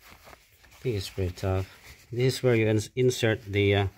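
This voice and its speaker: A middle-aged man with a Filipino accent, off camera in a carpeted room, speaking in a halting, casual way with many fillers and restarts as he shows an item to a handheld phone camera. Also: lowish voice, fairly even intonation, a little mellow, a little hiss or hum. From Philippines. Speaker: product reviewer. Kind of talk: casual unboxing commentary.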